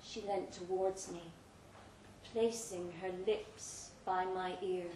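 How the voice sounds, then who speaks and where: A woman reading a literary story aloud to a live event, theatrical and deliberate, with dramatic pauses. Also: fairly low pitch, steady tone, warm tone. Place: indoor live reading in a pub venue. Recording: roomy live venue, faint background noise.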